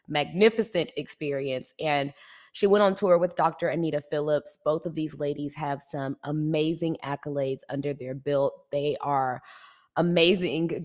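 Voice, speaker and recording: A woman hosting a faith-based self-improvement podcast, stuffy and congested from allergies, chatting directly to her listeners. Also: low-pitched. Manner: chatty, warm address to listeners. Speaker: podcast host.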